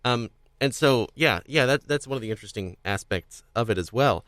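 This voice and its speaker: A man's voice: medium pitch, lively intonation, fairly quick, fairly bright tone, dry recording. A man hosting a casual podcast discussion, talking in a rambling way and often rephrasing himself. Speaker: podcast host.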